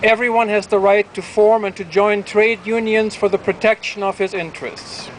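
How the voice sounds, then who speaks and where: A man with a high-pitched voice reads a formal document aloud at a public gathering. He is outdoors, with faint street and traffic noise behind him. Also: steady tone, normal speed. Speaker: public reader at a rally. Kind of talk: reading a declaration aloud. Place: outdoor town plaza.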